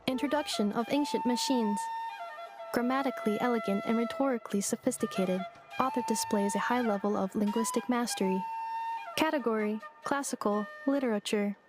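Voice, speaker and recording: A woman voicing an earnest, literal robot maid in a video game, speaking in a measured, formal, matter-of-fact way over music. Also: on the high side, natural voice. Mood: methodical. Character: female robot maid with memory loss, earnest, literal, placid.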